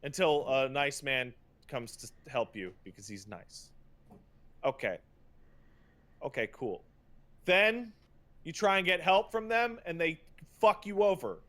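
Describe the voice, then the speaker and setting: Higher-pitched man, emphatic podcast ranter. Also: fairly bright tone. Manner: emphatic, profane, halting with repetitions and mocking paraphrases. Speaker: podcast host. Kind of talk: casual ranting commentary about video games.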